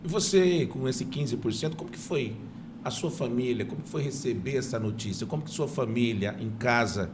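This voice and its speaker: A man hosting a podcast interview, asking questions in a warm, friendly way. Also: medium pitch, a little fast, noisy background. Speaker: podcast host.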